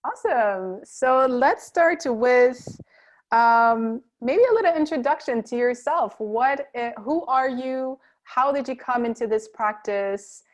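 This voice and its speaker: A woman's voice: a little high, slow pace. A woman hosting a podcast interview, upbeat and chatty, stumbling a little as she reads a guest's bio. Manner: upbeat, chatty, with small restarts while reading a guest bio. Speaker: podcast host.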